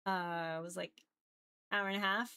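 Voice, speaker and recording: A woman's voice: lowish voice, steady tone, moderate pace, sharp tone, clear, resonant tone. A woman chatting casually to viewers as a livestreamer, informal and rambling, with a giggly manner. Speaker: visual novel streamer.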